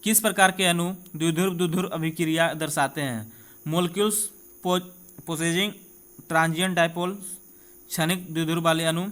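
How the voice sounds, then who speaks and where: A man's voice: on the high side, a bit bright. A man with a Bihari accent teaching an informal exam-prep lesson, reading out quiz questions and explaining answers, often checking in with his students in a conversational way. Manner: conversational, addresses students directly with repeated check-ins.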